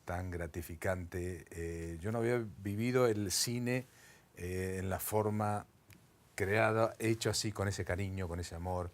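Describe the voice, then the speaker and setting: A man, an actor, speaking in an interview in a heartfelt, halting way, pausing often. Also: low voice. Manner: warm, emotional, halting. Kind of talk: interview answers.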